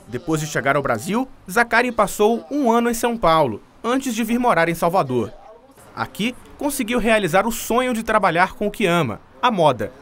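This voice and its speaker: Man, news narration, high pitch, very lively intonation. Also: normal speed. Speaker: TV news reporter.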